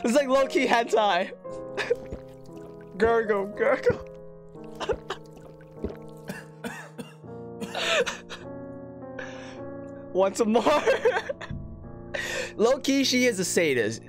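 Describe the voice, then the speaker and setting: A man's voice: very high voice, huge pitch swings, extremely slow, pauses often. A man doing casual playthrough commentary on a visual novel, reading it aloud and reacting informally with amused disbelief. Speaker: streamer. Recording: music playing behind the voice.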